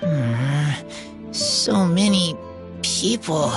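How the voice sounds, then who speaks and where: A woman playing an earnest, shy teenage boy raised by wolves in a video game, speaking in blunt, halting broken phrases. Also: sits low, animated, exaggerated voice. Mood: puzzled. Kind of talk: video game character voice acting. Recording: faint music behind the voice.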